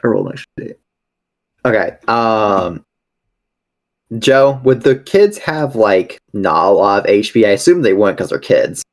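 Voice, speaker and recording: A man's voice: lowish voice, expressive, moderate pace. A man playing a tabletop game, chatting casually and hesitantly, often restarting his sentences. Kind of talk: casual improvised game chat.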